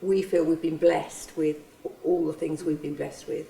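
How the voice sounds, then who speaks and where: Earnest woman giving testimony; gravelly, mellow, recorded distant in a reverberant space. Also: sits low, little pitch movement, slight background noise. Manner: earnest, halting with restarts. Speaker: church member and refugee host. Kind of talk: reflective testimony. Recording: roomy, from a distance.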